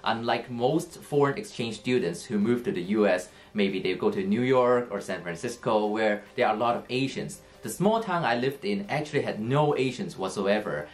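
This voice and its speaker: Man, low earnest voice. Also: lively intonation, normal speed, few pauses. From Hong Kong. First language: Cantonese. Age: 30s. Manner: earnest, explanatory, with many fillers and restarts. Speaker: English teacher. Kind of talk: personal story talk to camera.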